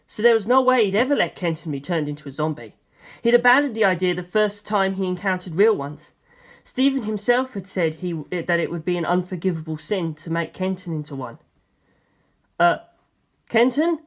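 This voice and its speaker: A narrator reading a first-person story aloud as an audiobook, in an expressive, conversational and dramatic way. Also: mid-range voice. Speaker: audiobook reader.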